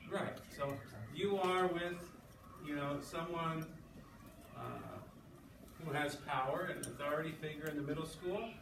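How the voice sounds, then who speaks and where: A man with a slightly high voice teaches a class, thinking aloud and checking understanding as he goes. He sounds a little far from the mic in a very echoey room, with clear background noise. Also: unhurried. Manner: thinking aloud, often checking with "okay" and "right". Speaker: English teacher. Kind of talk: classroom lesson. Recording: distant, echoey, noisy classroom recording.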